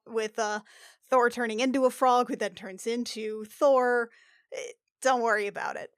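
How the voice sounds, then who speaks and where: A woman's voice: fairly high pitch, fairly bright tone, clear, resonant tone, dry recording, clean studio sound. A woman in a casual podcast chat, talking with enthusiasm as one of the cast.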